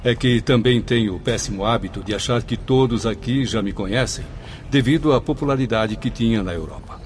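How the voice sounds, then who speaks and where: A man's voice: medium pitch, brisk, slight background noise, lightly acted. An actor playing a self-important male professor in a radio drama, formal and full of questions. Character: vain, questioning male European professor, now a bewildered spirit. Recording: music behind the voice.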